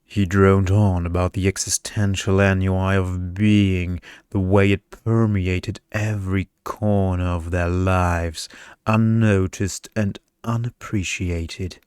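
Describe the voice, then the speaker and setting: Deep, slow-reading male ASMR storyteller. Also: flat delivery, a little mellow, clear, resonant tone, dry recording, clean studio sound. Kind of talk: ASMR bedtime story narration.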